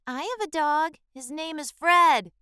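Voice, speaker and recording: A clingy, excitable little schoolboy, acted by a woman storyteller, pipes up in a very high voice. The storybook delivery is childlike and exclaiming, with big swings in pitch. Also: measured pace, no room sound, clean studio sound, exaggerated voice. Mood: eager. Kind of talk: storybook character voice.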